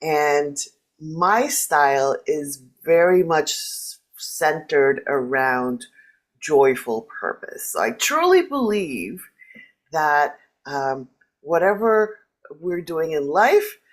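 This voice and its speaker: A woman coach with a low voice, whose first language is Spanish. She talks slowly but playfully, and her pitch swings widely. The tone is casual and friendly. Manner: lively, playful, quick jumps in pitch. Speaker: leadership coach. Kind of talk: casual chat.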